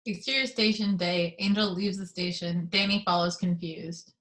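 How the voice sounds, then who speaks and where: A woman reading the action descriptions of a screenplay in a steady, matter-of-fact way on a video call. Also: fairly low pitch, moderate pace, poor audio.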